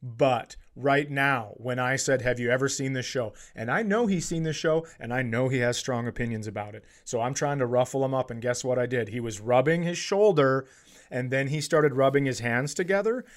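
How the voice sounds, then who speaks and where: A man's voice: medium pitch, moderate pace. A man bantering casually as a comedy podcast co-host, with a teasing, goading way of talking.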